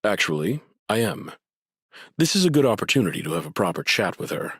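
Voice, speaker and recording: A man's voice: deep voice, very animated, brisk, breathy edge, no echo, studio quality, exaggerated voice. A man playing a courteous, earnest scholarly administrator in an anime game, speaking formally and politely. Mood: polite, composed. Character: courteous, earnest male academic district administrator. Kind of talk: scripted anime game dialogue.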